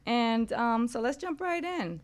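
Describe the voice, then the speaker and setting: A woman's voice: a little high, expressive, unhurried, clean tone. A woman co-hosting a talk radio show in a casual, conversational style.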